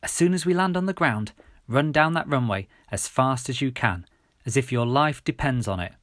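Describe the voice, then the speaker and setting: A man's voice: medium pitch, animated, normal speed, fairly bright tone, no room sound, studio quality, close to an everyday voice. A man voicing a brave, urgent teenage boy in an audiobook. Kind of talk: audiobook character voice.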